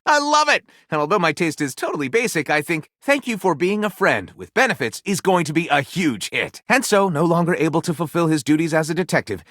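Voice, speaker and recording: Wildly swooping theatrical storyteller. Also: male voice, a little high, fairly bright tone, no echo, clean studio sound. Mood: gushing, delighted. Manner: theatrical, dramatic swoops and pauses, gushing then snide. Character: flamboyant, theatrical male book lover narrating his absurd pulp tales. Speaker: voice actor. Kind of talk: game character voice lines, melodramatic storytelling.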